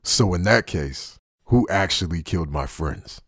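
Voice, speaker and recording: A man acting as a world-weary, cynical anime traitor speaks in a deep voice with a husky grain. He is guarded and wry, laid-back yet deliberate, in a very dry, close sound. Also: lively intonation, fairly bright tone, no room sound, exaggerated voice. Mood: grim, matter-of-fact. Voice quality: husky, low. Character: cynical, guarded male former Soul Reaper turned traitor. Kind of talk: anime fan dub acting.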